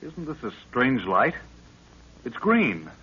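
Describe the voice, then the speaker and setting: Animated, husky young radio adventurer. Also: male voice, mid-range voice, takes it slowly, full character voice. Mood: curious. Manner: boyish exclamations, polite. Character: eager, respectful young man, professor's adventuring companion. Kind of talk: 1930s radio acting. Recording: dull lo-fi 1930s radio recording.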